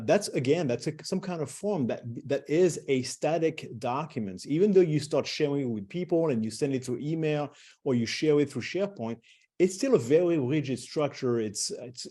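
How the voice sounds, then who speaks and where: A man's voice: on the high side, expressive, moderate pace, darkish tone. A middle-aged man presenting a webinar, talking loosely with many fillers and restarts.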